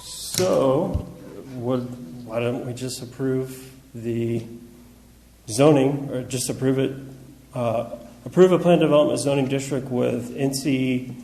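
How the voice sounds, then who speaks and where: A man on the council raising a question in a measured, hesitant way. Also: mid-range voice, warm tone, slight background noise. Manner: measured, hesitant, thinks aloud. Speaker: council member. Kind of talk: council meeting discussion. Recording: echoey meeting room.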